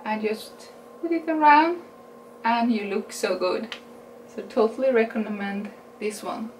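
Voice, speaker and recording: Slow, chatty Norwegian-accented woman vlogger. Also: on the high side, distant mic. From Norway. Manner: unhurried, chatty, self-correcting, laughs at her own English. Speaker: beauty vlogger. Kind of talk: casual makeup haul review. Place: small room indoors. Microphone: camera mic at a distance. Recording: roomy sound with a steady background hiss.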